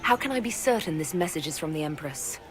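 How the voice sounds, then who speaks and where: A guarded, dry leader. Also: female voice, low voice, sing-song, fast talker, noisy background. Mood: wary. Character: wary female leader, dry wit. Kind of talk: video game cutscene acting.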